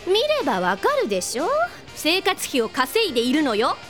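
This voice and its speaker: A woman playing a feisty, hot-tempered young rocket pilot in a comic audio drama, brash and quick to complain. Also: high-pitched, sing-song, fairly bright tone, faint music behind. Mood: angry.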